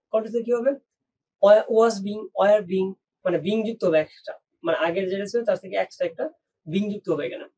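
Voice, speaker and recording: Male teacher, Bangladeshi accent, high voice, quick delivery; explanatory question-and-answer lecturing. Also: darkish tone, recorded from a distance, low-quality recording. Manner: explanatory, poses questions and answers them, repeats key phrases; code-switches with English terms. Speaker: English grammar teacher. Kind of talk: instructional online lecture.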